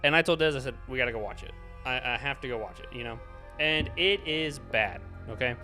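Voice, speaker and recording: A man's voice: medium pitch, lively intonation, fairly quick, bright, forceful tone, soft background music. A man hosting a podcast in a casual movie review chat, exasperated and slipping into mock dialogue. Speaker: podcast host.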